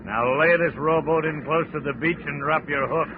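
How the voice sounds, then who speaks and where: A man's voice: fairly high pitch, moderate pace, lightly acted. An actor playing a ruthless armed male gangster who barks orders and sneers, in 1940s radio acting. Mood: sneering. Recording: dull 1940s radio broadcast with noise.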